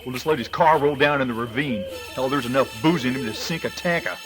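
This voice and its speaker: A man's voice: medium pitch, brisk, a bit bright, soft background music, lightly acted. A man playing a callous, pushy schemer in an audio drama, insistent and impatient. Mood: coaxing, callous. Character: pushy, callous male schemer urging a killing.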